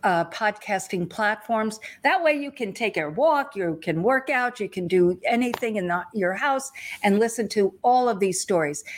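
A woman hosting an interview show, welcoming her guest with repeated greetings and asking about his life story. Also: medium pitch. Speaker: show host. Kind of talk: interview podcast.

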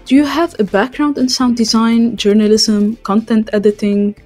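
A woman's voice: a little high, level tone, normal speed, a little mellow, soft background music. A woman hosting a podcast, reading a scripted introduction and interview questions. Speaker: podcast host.

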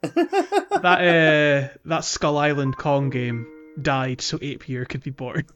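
A man's voice: on the high side, animated, slow, a bit bright, faint music behind. A man co-hosting a podcast in a casual chatting style. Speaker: podcast co-host. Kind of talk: casual chat.

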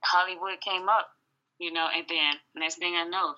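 A raspy-voiced woman from Atlanta, a singer and model, talking laid-back as a guest on a phone interview, full of "you know"s. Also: fairly low pitch, flat delivery, moderate pace, bright, forceful tone. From Atlanta, Georgia, US. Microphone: phone line. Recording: dull lo-fi phone audio.

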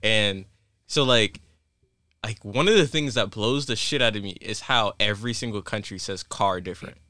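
Bright-voiced casual podcast guy. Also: lowish voice, moderate pace, no echo. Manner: loose and rambling, many "like" fillers. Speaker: podcast co-host. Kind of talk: casual chat.

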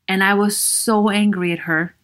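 A woman psychologist from Austria with a Viennese accent hosts her own podcast alone. She talks earnestly and encouragingly, asks her listeners many questions and fills pauses with "you know.". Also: mid-range voice, fairly even intonation, a bit bright. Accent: Viennese Austrian German. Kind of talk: solo podcast monologue.

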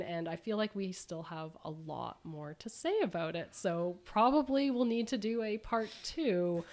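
A woman hosting a conversational interview, speaking haltingly with many ums. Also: medium pitch. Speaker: radio host.

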